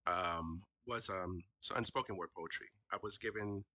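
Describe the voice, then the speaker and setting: Bright-voiced man, Haitian Creole native. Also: low voice, no echo. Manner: reflective storyteller, quick to laugh. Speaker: podcast guest, actor and educator. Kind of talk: casual interview conversation.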